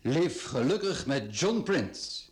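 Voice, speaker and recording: A man's voice: a little high, very animated, takes it slowly. A man acting a ruthless, condescending self-made business tycoon in a radio play, with a Flemish accent and a mocking, commanding way of talking. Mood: persuasive, patronising.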